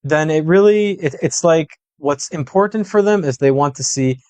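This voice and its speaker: A man's voice: fairly high pitch, normal speed, a little mellow, no room sound. A man giving conversational political analysis as a guest, laying out points methodically and checking in as he goes. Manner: methodical, punctuates points with "right?" and "okay?". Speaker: political commentator, podcast guest.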